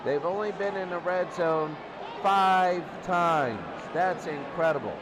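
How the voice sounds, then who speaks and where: A man giving football pregame commentary, reading out team statistics in a halting, phrase-by-phrase delivery. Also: high voice, crowd noise. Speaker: sports commentator. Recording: very noisy amateur recording. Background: loud background noise.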